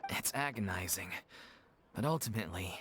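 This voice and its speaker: A man's voice: sits low, lively intonation, normal speed, fairly bright tone, slight background noise. A man playing an earnest, polite young member of a theatre troupe in an anime game. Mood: sombre. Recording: music playing behind the voice. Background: game music.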